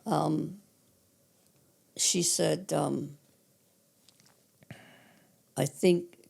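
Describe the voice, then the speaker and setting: Hoarse, gravelly older woman. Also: sits low, fairly even intonation, very slow, darkish tone. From Cape Breton Island, Canada. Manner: matter-of-fact, detailed recollection. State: hoarse, raspy voice. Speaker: guest, retired 911 dispatcher. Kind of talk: casual storytelling interview.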